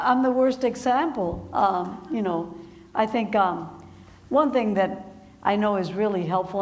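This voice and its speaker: A woman author talks to an audience at a book event, warm and quick to laugh, often restarting her sentences. Her voice sits at a middle pitch. The sound carries a long hall echo over faint background noise. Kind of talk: conversation at a public book event.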